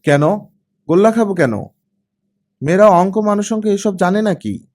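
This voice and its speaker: A man playing a pouty teenage girl, lifted pitch. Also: moderate pace, a little mellow, lightly acted. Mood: protesting. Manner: indignant, protesting, questioning back. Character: pouty, argumentative teenage girl, aged about fourteen. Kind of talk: audiobook character acting.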